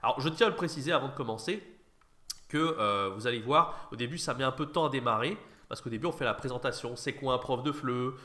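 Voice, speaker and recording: A man's voice: mid-range voice, quick pace, bright, forceful tone. A man chatting casually as an online host, slightly nasal from an allergy, with an informal, rambling delivery. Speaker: YouTube host, online French teacher trainer. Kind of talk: casual chat, reaction commentary.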